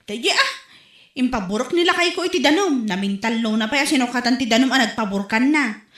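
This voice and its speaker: A woman's voice: on the high side, animated, brisk, fairly bright tone. An actress playing a chatty, gossiping neighbour woman in a comedy audio drama, speaking with an Ilocano accent from the northern Philippines. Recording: echoey room.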